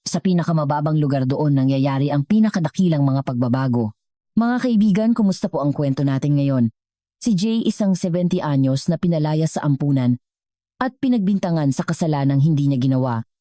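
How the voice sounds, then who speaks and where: Female narrator for dramatic audio stories. Deep register, soft dark tone, dry recording. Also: animated, brisk, dry recording. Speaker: storyteller and channel narrator. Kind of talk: scripted dramatic story narration.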